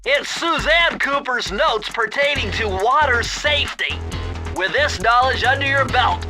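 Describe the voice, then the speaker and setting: A man's voice: extremely high pitch, expressive, unhurried, over music. A man voicing a brainy, nerdy young cartoon turtle in a video game, precise and bookish as he gives instructions. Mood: pleased.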